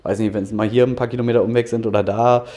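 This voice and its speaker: A man's voice: fairly low pitch, flat delivery, fast, mellow. A man from Berlin in his thirties, an angler talking casually as a podcast guest, upbeat and a bit rambling, laughing often. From Germany, Berlin.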